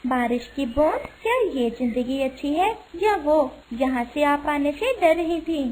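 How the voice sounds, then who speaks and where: A woman voicing a kind, polite little female sparrow in a children's cartoon, gentle and earnest. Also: high voice, expressive, a little slow, rough recording. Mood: earnest, coaxing. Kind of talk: Hindi children's cartoon voice acting.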